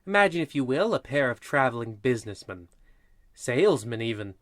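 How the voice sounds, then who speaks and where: An actor playing a macabre, theatrical and sardonic host in a parody of old radio horror shows. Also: male voice, mid-range voice, big pitch swings, fairly quick, no echo, clean studio sound, close to an everyday voice.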